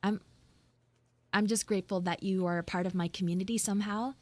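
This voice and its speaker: A woman livestreamer chatting casually and haltingly with her live viewers, in an informal way, close on the mic. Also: mid-range voice, fairly even intonation, darkish tone. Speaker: livestreamer and VTuber host.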